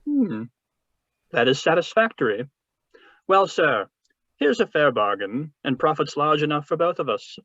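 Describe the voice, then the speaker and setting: A man's voice: fairly high pitch, sing-song, unhurried, pauses often, fairly bright tone, dry recording, exaggerated voice. A man playing a suave, wordy older crime boss with pompous, florid politeness in a 1940s-style radio drama over a video call. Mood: smoothly bargaining. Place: remote read-through over a video call. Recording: muffled, dry video-call audio.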